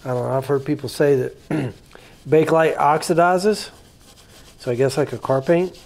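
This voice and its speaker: A man's voice: fairly high pitch, normal speed, gravelly, a little mellow. A man giving casual, plain-spoken commentary as a hobbyist restorer, thinking aloud while he works on a hands-on repair.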